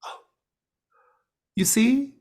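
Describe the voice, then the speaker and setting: Man voicing an eerily serene, slow-spoken devout woman. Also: a little mellow. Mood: rapturous, serene. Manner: slow, reverent, hospitable. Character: Gale Van Wyck, eerily serene woman devotee offering tea.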